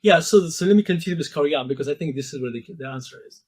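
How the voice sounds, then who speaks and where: A man in his fifties, a podcast guest explaining ideas thoughtfully with many pauses and restarts. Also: fairly high pitch, darkish tone, husky, no echo, studio quality. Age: 50s. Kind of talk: interview conversation.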